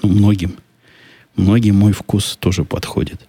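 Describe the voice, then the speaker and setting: A man with a deep voice and a dark, husky tone hosts a solo podcast and answers listener questions in a loose, musing way. He sounds skeptical and thinks out loud, breaking off and restarting his sentences. The sound is dry and close, with a gravelly texture. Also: level tone, unhurried, no room sound. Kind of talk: casual solo podcast monologue.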